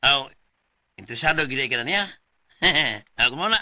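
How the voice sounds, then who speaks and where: A man's voice: medium pitch, animated, moderate pace, bright, forceful tone, no echo, clean studio sound. An actor playing a teasing, skeptical male buddy with a mocking, exclamatory delivery in a radio drama. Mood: amused teasing.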